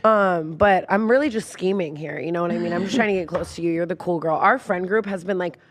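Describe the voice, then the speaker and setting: A woman's voice: lowish voice, fast. A young woman on a podcast as a guest, gushing and chatty with lots of "like" and playful swearing. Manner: gushing, valley-girl fillers, playful swearing. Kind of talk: casual podcast chat.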